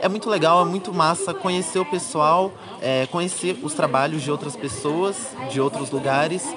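A young Brazilian man talks casually as a student being interviewed. His voice sits a bit high for a man. A crowd murmurs clearly behind him. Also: moderate pace. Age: young adult. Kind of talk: casual chat. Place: university congress with crowd. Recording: busy event crowd behind the voice.

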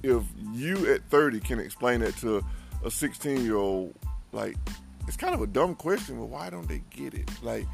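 A man in his forties co-hosting a casual podcast, who gets loud and fired up as he talks. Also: medium pitch, animated, normal speed, vocal fry, faint music behind. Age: 40s. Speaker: podcast co-host.

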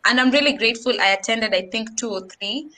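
A woman's voice: on the high side, fast, pushed and bright. A young woman from Kenya with a Kenyan accent, chatting animatedly on a podcast and switching between English and Swahili slang. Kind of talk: casual podcast chat.

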